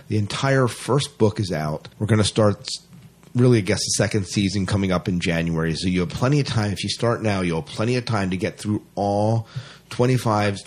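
Low-voiced man hosting a casual podcast. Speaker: podcast host. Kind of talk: casual chat.

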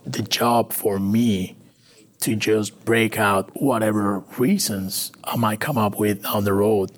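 Man, latin american spanish accent, fairly low voice, halting, restarts a lot, interview guest. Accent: Spanish-speaking Latin American. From Venezuela. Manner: halting, with frequent restarts and fillers. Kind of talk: personal recovery story, conversational.

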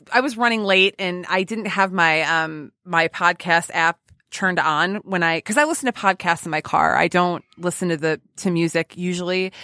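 A woman's voice: lowish voice, pushed and bright, dry recording, clean studio sound. A woman hosting a podcast in a chatty, informal and playful way. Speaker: podcast host. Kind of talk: casual chat.

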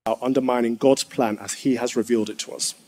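A man, a clergyman, giving a formal, measured speech to an assembly. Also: medium pitch, fairly even intonation, darkish tone. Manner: measured, firm oratory.

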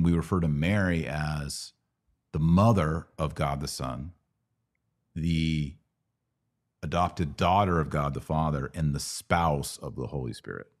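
A middle-aged American man with a very low-pitched voice hosts a casual teaching show. He explains things informally and didactically, in short conversational pieces. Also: moderate pace. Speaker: podcast host. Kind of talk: live Bible Q&A, casual teaching.

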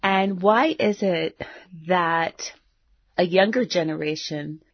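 A woman's voice: fairly low pitch, slow pace. An American woman in her fifties from South Florida, hosting a podcast as a personal monologue in an earnest, emphatic way. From South Florida, United States. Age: 50s. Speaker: podcast host. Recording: dull, roomy, echoey sound.

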